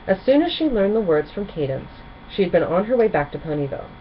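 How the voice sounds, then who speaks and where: A woman narrating a children's story in an expressive storytelling style. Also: mid-range voice, fast talker. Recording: dull sound with faint background noise.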